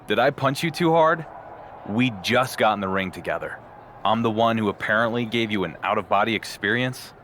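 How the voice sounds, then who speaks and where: Man playing a low-voiced, dry champion wrestler. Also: fairly quick, fairly bright tone, slight background noise, lightly acted. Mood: exasperated, sarcastic. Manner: dry, dismissive. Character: confident, dryly sarcastic young champion male wrestler. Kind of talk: scripted video game cutscene acting.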